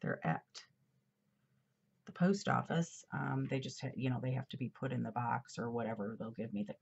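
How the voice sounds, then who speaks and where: Low, gravelly woman, a little congested. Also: moderate pace, a little mellow, lo-fi sound. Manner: chatty, rambling, with fillers and asides. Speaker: planner vlogger. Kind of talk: casual chat while decorating a planner. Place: at a desk indoors. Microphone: overhead camera.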